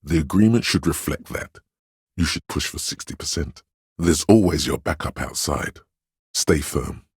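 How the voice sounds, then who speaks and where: An actor playing a stern, commanding inner voice of authority in a video game, speaking in a grave, imperious, theatrical way. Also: male voice, rumbling low, animated, normal speed, a little mellow, husky, no room sound, studio quality, exaggerated voice. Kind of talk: dramatic scripted game narration.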